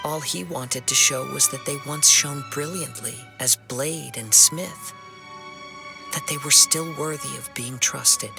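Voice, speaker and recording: Young wandering swordsman, game cutscene, calm, formal, creaky edge, music behind. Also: fairly high pitch. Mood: reflective narrating. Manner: measured, formal, solemn. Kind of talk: video game voice acting.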